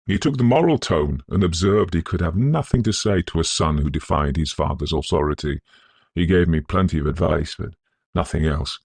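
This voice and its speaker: Storybook role: disowned young gentleman played by a man, well-spoken, bitter and weary, with a creaky edge. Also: extremely low pitch, no echo, full character voice.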